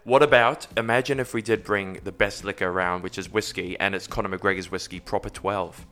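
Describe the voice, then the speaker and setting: Bright-voiced, playful man. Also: low-pitched, steady tone, brisk. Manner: playful, apologetic, loose. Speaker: podcast co-host. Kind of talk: comedic podcast banter. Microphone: studio microphone.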